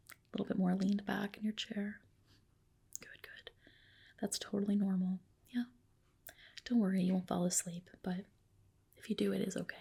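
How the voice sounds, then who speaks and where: A woman whispering softly and breathily in a soothing, reassuring ASMR roleplay as a dermatologist, close to the microphone. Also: mid-range voice, creaky, husky. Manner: soothing, reassuring, unhurried explanations.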